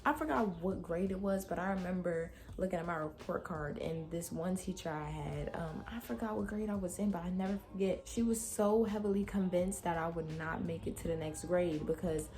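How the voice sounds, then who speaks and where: Low-voiced young woman, a bit raspy. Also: fairly quick, few pauses, a little mellow. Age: young adult. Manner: candid, emotional, talks straight to viewers. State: raspy throat from a cold night. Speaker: vlogger. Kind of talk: personal reflective vlog. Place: her room.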